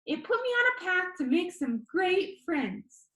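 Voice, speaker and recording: A very high, excitable young male video host from a storybook, as a woman reader acts him out. He is upbeat, a little weird and emphatic, urging listeners on with big swoops of pitch. The room rings slightly around the voice. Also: unhurried, reverberant, exaggerated voice. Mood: earnest, upbeat. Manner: bubbly, emphatic, encouraging delivery. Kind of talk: theatrical audiobook character voice.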